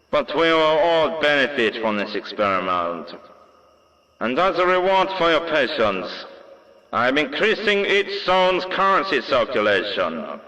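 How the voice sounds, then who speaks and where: An actor playing a self-important dictator emperor in an audio drama, giving a grandiose, measured, falsely benevolent address to his subjects. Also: medium pitch, pushed and bright. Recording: long echoing public-address reverb.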